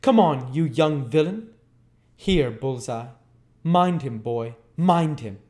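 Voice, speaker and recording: A man's voice: fairly high pitch, animated, takes it slowly, warm tone, voice pushed up. Man putting on a growling, menacing thug, Bill Sikes. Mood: bullying. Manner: growling, threatening commands. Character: brutal, menacing adult male thief bullying a boy. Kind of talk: storybook character voice.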